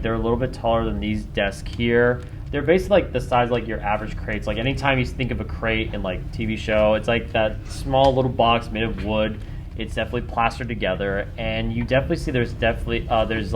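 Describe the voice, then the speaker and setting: A man narrating a tabletop game off the cuff as game master, in a casual, halting way full of filler phrases. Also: lowish voice. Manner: casual, halting, hedges with "like" and "kinda". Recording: noisy room, group recording.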